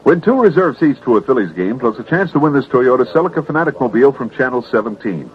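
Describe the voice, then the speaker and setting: Fast-talking male promo announcer, tinny sound. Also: mid-range voice, animated. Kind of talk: scripted contest promo. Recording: thin phone-like vintage radio recording.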